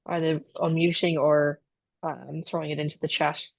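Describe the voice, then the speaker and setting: A woman's voice: low voice, flat delivery, moderate pace, gravelly, no echo. A woman moderating an online discussion in a conversational, informal way as host. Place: online video call.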